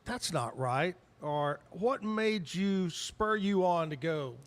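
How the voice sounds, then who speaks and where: A man's voice: sits high, expressive, slow. A man hosting a live talk show, easygoing and chatty as he interviews a guest. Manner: easygoing, chatty, laughs often. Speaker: host.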